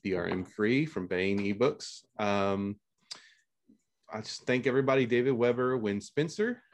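A male podcast host wrapping up a panel and introducing the next segment, relaxed and slightly hesitant. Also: lowish voice, moderate pace.

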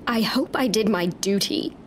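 A woman's voice: mid-range voice, moderate pace, slight background noise, close to an everyday voice. An actress playing a bitter, proud young Roman noblewoman in an audio drama, with formal, cutting diction. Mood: cool, resigned. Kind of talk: full-cast audio drama acting.

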